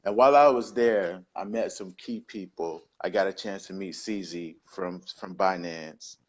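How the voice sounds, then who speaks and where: A man's voice: sits low, moderate pace, muffled recording. A man from Georgia with a Southern accent, a guest telling his story in an easygoing, upbeat way on a video call. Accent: Southern American. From Georgia, USA. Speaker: guest, crypto device distributor. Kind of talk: casual interview over video call.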